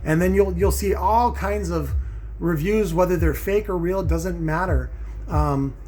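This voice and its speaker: A man's voice: sits high, measured pace, mellow, a little hiss or hum. A middle-aged man hosting a casual, opinionated review vlog, rambling and emphatic, repeating phrases for stress and checking in with his listeners. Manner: often checks in with "right?" and "okay?". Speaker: host of a home audio review vlog. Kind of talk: casual opinionated monologue to viewers.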